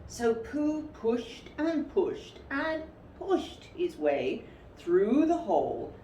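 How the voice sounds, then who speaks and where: A woman storyteller reading a children's story aloud in an expressive style. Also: a little high, extremely slow. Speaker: storyteller, school reader. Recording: roomy, distant mic, faint background noise.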